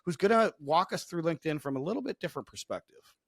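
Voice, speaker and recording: A man's voice: fairly high pitch, expressive, fast, gravelly, no echo, studio quality. A man co-hosting a podcast interview in a casual, wordy way, often correcting himself mid-sentence. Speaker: podcast co-host.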